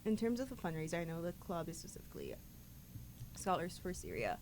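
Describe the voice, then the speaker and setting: Student interviewer, woman, low and gravelly, relaxed probing questions. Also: a little fast, a little hiss or hum. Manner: gently probing, conversational questions.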